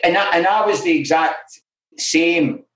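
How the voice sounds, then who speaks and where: Middle-aged glasgow guy, scottish accent, talking straight and frank, bit higher voice, lots of you know, roomy echo. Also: measured pace, a bit bright. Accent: Glaswegian Scottish. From Scotland. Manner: frank and self-deprecating, full of "you know" asides. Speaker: interview guest in alcohol recovery. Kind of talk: candid conversational storytelling. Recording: roomy echoey room.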